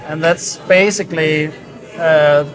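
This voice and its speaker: A man explaining his work as a design director in an interview, in a halting, thoughtful way. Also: on the high side, steady tone, music in the background, low-quality recording. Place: busy hi-fi trade show hall. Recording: crowd chatter around the voice. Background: crowd chatter.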